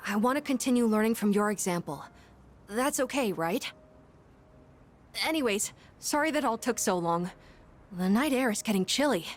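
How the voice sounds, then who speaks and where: A woman playing an earnest, gentle young schoolboy in a video game, speaking politely and thoughtfully with some hesitation. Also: fairly high pitch, animated, moderate pace, long pauses. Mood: earnest, warm. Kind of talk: English video game voice acting.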